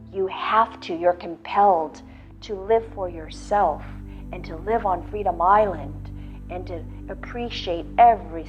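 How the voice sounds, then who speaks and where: A woman leading a guided visualization, speaking slowly and soothingly with soft pauses. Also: mid-range voice. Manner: slow, soothing, drawn-out phrasing with soft pauses. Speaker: guided meditation leader. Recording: slightly dull lo-fi audio with faint background music.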